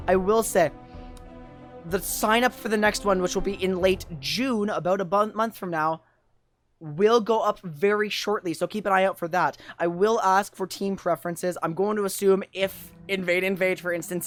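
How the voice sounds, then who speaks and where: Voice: medium pitch, fairly bright tone. A tournament host with a voice wearing out from long talking, chatting casually and appreciatively to viewers on an esports livestream. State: losing voice after two days of talking. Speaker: tournament host and streamer caster.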